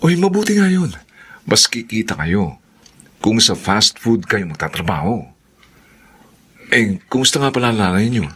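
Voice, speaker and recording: A man playing a gentle, generous friend in a radio drama, husky and solicitous. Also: lowish voice, big pitch swings, moderate pace, pauses often, heavily acted. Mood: friendly, curious. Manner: solicitous, questioning. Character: kind, concerned male friend, gentle and generous.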